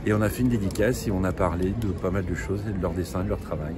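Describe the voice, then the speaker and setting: A man from near Brest answering a reporter's questions in a measured way, often repeating his agreement. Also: low voice. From Brittany, near Brest. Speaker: festival visitor interviewed. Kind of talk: street interview. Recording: noisy outdoor street interview.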